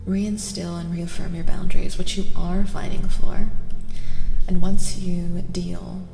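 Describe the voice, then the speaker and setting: A soft-spoken woman, often near a whisper, hosting a live ASMR tarot reading and chatting with viewers in an unhurried way. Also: lowish voice, creaky. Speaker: streamer host and tarot reader. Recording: reverberant echo with music behind. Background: music.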